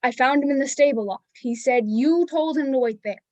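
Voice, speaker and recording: A woman in an amateur play acting a stern small-town husband and father, gruff and reproachful. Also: high voice, fairly quick, darkish tone, no echo, close to an everyday voice. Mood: stern, scolding. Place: filmed on a video call.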